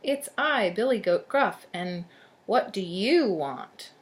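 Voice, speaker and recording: A woman's voice: medium pitch, very animated, slow pace. A woman voicing a big, fearless male billy goat in a children's storybook, with a defiant, big voice. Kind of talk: children's storybook read aloud.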